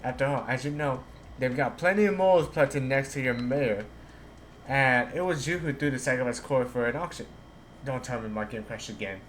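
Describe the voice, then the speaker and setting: A man's voice: mid-range voice, moderate pace, slight background noise. A man streaming a video game, casually reading dialogue aloud and commenting, sometimes stumbling over the lines.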